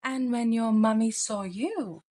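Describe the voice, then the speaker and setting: A woman voicing a loving father bear, gentle and reassuring, in a children's storybook read-aloud. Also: on the high side, unhurried, voice pushed up. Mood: tender.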